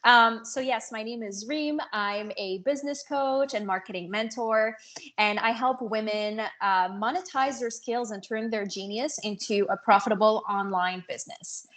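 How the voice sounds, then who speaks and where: A woman answering casually as an interview guest, earnest and somewhat halting as she searches for words. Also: a little high, a bit bright. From North Africa and France. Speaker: podcast guest, business coach.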